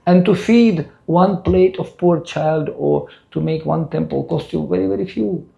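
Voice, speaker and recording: A man's voice: fairly high pitch, measured pace, gravelly, dark tone, muffled recording. A man in his sixties from Russia, speaking English with a Russian accent, giving an earnest spiritual lecture to a temple audience. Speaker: spiritual teacher.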